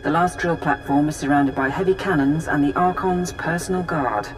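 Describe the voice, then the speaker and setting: A female military intelligence officer in a video game , voiced by an actress, with a very low voice. She is pragmatic, dry-witted and businesslike as she briefs her commander. Music runs clearly behind her. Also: normal speed, close to an everyday voice, rough recording. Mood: grave, factual. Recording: game audio under loud music. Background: orchestral game music.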